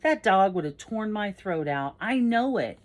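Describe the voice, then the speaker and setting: Casting: female narrator as excitable, foul-mouthed teen boy, audiobook dialogue, emphatic and slangy, raised voice. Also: mid-range voice, moderate pace, voice pushed up, natural voice. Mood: insistent, awed. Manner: emphatic, slangy, self-correcting. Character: excitable, foul-mouthed teenage boy telling a tall story.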